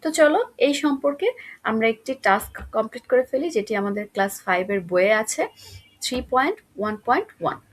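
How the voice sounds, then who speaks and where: A woman's voice: on the high side, lively intonation, fairly quick, poor audio. A woman teaching schoolchildren in an explanatory, instructive way, often asking questions and answering them herself. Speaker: English grammar teacher.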